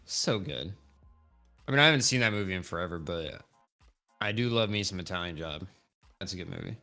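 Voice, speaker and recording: A man's voice: low voice, very animated, fairly quick, pushed and bright, soft background music. A man in his thirties chatting casually on a livestream, rambling and laughing easily. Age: 30s. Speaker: co-host.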